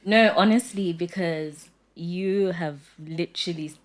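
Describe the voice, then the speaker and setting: Low-voiced enthusiastic young woman host. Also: takes it slowly. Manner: warm, enthusiastic, encouraging. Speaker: podcast host. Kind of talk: casual interview chat.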